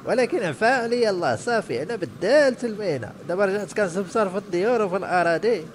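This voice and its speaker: A man's voice: high-pitched, lively intonation, fast, soft background music. A man voicing a talkative, persuasive grey-haired house broker in a comedy cartoon, with a northern Moroccan accent. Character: chatty grey-haired male neighbourhood house broker, ex-convict.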